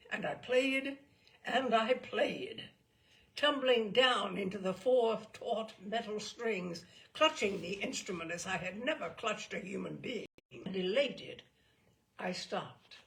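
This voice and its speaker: A woman reading a literary story aloud as an expressive storyteller. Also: mid-range voice, vocal fry.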